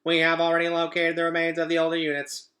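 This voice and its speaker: Man playing a robot enforcer, cold, bright forceful tone, clipped commands, children's storybook. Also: a little high, monotone, fast talker, pitched above the performer's own voice. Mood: commanding. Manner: clipped, repetitive, official commands. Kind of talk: children's book read-aloud.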